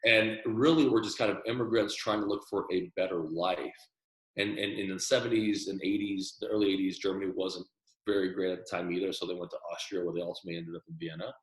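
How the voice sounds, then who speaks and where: A man's voice: low-pitched, fairly even intonation, fast, echoey room, low-quality recording. A man born in Taiwan, a podcast guest, telling his story earnestly in a casual interview and often repeating words as he starts sentences.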